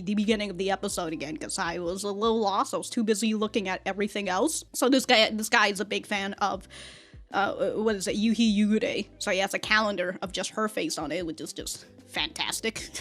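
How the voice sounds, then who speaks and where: A woman giving casual reaction commentary as a host, with slangy, giggly, animated asides. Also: medium pitch, fairly quick, faint music behind.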